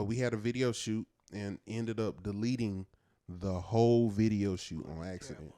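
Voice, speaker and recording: A man with a fairly low-register voice, co-hosting a podcast and telling a story in a loose, earnest way. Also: darkish tone. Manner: loose and earnest, peppered with "man" and "you know what I'm saying". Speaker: podcast co-host. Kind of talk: casual storytelling chat.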